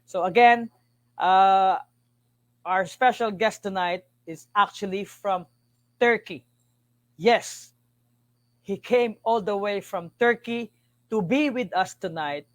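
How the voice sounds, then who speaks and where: A man's voice: high voice, slow, pauses often, dry recording. A man hosting an online interview, effusive, often repeating words and saying "you know". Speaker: host.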